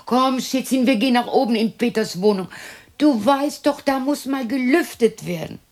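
A woman's voice: high-pitched, moderate pace, lightly acted. An actress playing a lonely widow in her sixties who mutters to herself in a radio drama. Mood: restless. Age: 60s. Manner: muttering to herself, shifting between musing and scolding. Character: lonely sixty-year-old widow talking to herself and imagined family.

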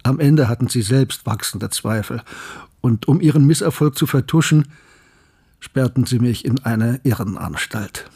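Mellow, husky older storytelling man. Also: medium pitch. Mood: bitter, reflective. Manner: measured, literary storytelling. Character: betrayed, reflective older man recounting opera and espionage. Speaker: narrator and lead character. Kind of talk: German radio drama monologue and dialogue.

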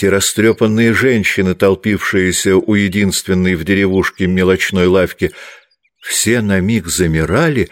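Low-voiced male audiobook narrator. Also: no echo, clean studio sound. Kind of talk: scripted literary narration.

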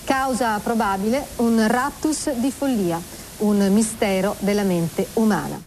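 A woman's voice: medium pitch, measured pace, a little mellow, slight background noise, poor audio. A woman narrating a scripted radio drama in a formal documentary manner. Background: music.

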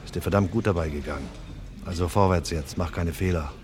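An actor playing a bossy, menacing crook giving curt orders in a German radio crime drama. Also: male voice, low voice, a little fast, over music, lightly acted. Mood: threatening. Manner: curt, commanding.

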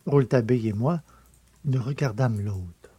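Mellow, husky male audiobook narrator. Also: medium pitch, moderate pace, dry recording. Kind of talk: scripted literary narration.

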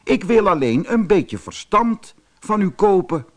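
A man's voice: sits high, big pitch swings, measured pace, voice pushed up. Een man die een sluwe, hongerige tijger speelt in een sprookje, vleierig, zelfingenomen en snel ongeduldig. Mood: falsely polite. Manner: wheedling, self-satisfied, impatient when crossed. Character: sly, vain, hungry male tiger, falsely polite. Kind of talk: storybook character voice.

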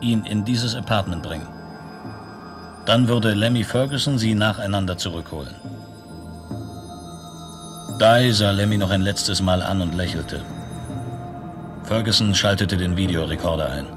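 A man narrating a science fiction radio play as a detached storyteller, in measured, clipped phrases. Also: fairly low pitch, close to an everyday voice. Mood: detached, intense. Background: music.

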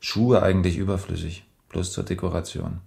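An actor playing a calm, methodical police major in a radio drama, measured and matter-of-fact with a dry weariness. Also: male voice, sits low, creaky. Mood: weary, sober. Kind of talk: 1980s German radio drama acting.

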